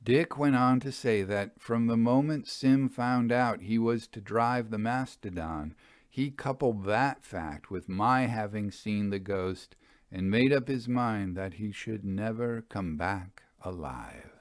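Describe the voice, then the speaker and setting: Guy narrating an old-timey ghost tale, lowish voice, dry close sound. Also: slow, no room sound. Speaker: story narrator. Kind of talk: literary audiobook reading of a Victorian ghost tale.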